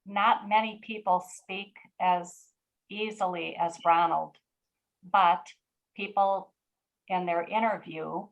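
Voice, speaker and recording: A woman's voice: on the low side, fairly even intonation, takes it slowly, vocal fry, lo-fi sound. A woman with a Chicago American accent, speaking conversationally as a co-presenter. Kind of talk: conversational interview.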